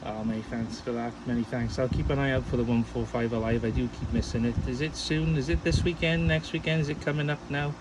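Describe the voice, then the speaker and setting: A man's voice: medium pitch, steady tone, fast talker, few pauses, heavy background noise. A man chatting casually and at rambling length as an amateur radio operator. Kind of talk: casual ham radio chat.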